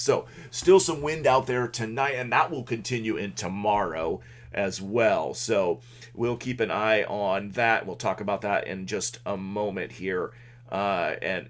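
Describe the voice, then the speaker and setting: Casting note: man as a loud, low-voiced local weather livestream host, casual and halting. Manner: loud, halting delivery with many fillers. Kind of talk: casual live weather update. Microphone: desk microphone.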